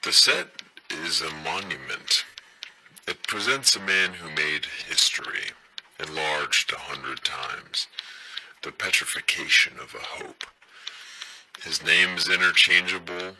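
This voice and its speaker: A man's voice: low-pitched, animated, slow pace, noisy background, exaggerated voice. A man playing a disillusioned, anguished actor who renounces his stage role, delivering a solemn, declamatory avant-garde monologue for an audio play.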